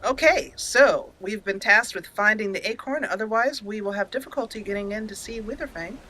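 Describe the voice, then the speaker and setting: A woman narrates a fantasy game roleplay as its host. Her voice is bright and forward, with a mid pitch and expressive storytelling delivery. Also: fairly quick, a little hiss or hum, poor audio. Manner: expressive storyteller, acting out lines. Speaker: let's play host and narrator. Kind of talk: roleplay storytelling over gameplay.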